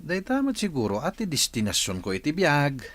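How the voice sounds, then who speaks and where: A man's voice: on the high side, huge pitch swings, a little fast, fairly bright tone, no echo, exaggerated voice. A man acting a cheerful, teasing, lovestruck village man in an Ilocano radio comedy-drama, with an Ilocano accent. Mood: teasing, amused.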